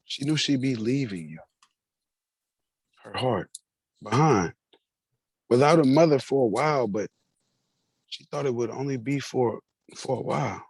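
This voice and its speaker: A husky-voiced grown son in a family stage drama, played by a man. He is earnest and tender, speaking at a medium pitch in a dry space. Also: animated, measured pace, long pauses, darkish tone, dry recording, exaggerated voice. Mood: gentle, solemn. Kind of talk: staged play reading.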